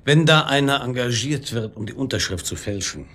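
Radio drama casting: sardonic police boss, medium-pitched voice, dry irony, clipped orders. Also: male voice, animated, fairly quick, fairly bright tone. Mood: sardonic, commanding. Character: wry, sardonic male police detective chief. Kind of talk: naturalistic German radio drama acting.

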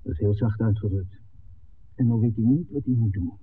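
A man's voice: on the low side, fairly quick, natural voice. An earnest young film-maker in a vintage Dutch radio play, played by a male actor. He is a tender lover who stands his ground when cornered. The sound is narrow, like an old broadcast, with a faint hiss. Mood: worried, earnest. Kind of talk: 1960s Dutch radio drama acting.

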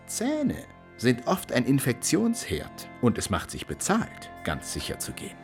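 A pompous male doctor in a satirical radio play. He lectures with unctuous reassurance, his pitch swinging widely, over faint music. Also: wildly swooping pitch, measured pace, gravelly, full character voice. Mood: smug, lecturing. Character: smugly reassuring male doctor prescribing drastic cures.

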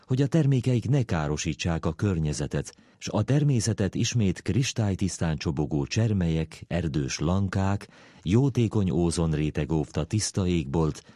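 A man with a deep, warm voice reads literary prose aloud. His delivery is deliberate and dryly ironic, and the sound is dry, with no room around it. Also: no room sound. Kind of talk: literary essay reading.

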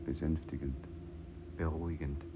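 Through loud noise comes an extremely deep, grim voice of war. He intones terse lines flatly and solemnly, soothing soldiers coldly in a radio drama. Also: normal speed. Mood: hushed, soothing. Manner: hushed, flat, solemn pronouncements. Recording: dull, very noisy radio production.

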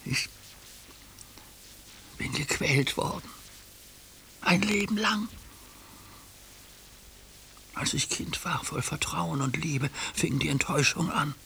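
An actor in a German radio play playing an anguished ageing judge who speaks for his neglected heart in a halting, hushed confession. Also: on the high side, gravelly, full character voice. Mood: anguished, pleading. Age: older. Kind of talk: German radio play acting, intimate monologue. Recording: hissy radio play recording.

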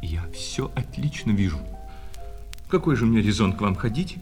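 A man's voice: fairly low pitch, sing-song, moderate pace. A man playing an obsequious, wounded male shop clerk in a radio play, switching between servile sales patter and bitter reproach. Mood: jealous, reproachful. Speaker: actor. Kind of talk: radio play acting of a classic short story. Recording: reverberant hall, music behind.

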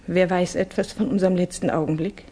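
Fragile young woman in mourning, low-pitched husky voice, formal, intense, halting, old radio drama. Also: fairly even intonation, mellow. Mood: grieving, halting. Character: grieving young Russian woman, refined, earnest, fragile. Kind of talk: 1960s German radio drama acting.

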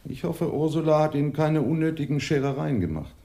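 An actor playing a smooth, polite and easygoing adventurer and junk dealer in a radio drama. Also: male voice, fairly high pitch, animated, fairly quick, dark tone. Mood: breezy, glib. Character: smooth, obliging male adventurer and junk dealer nicknamed Cat.

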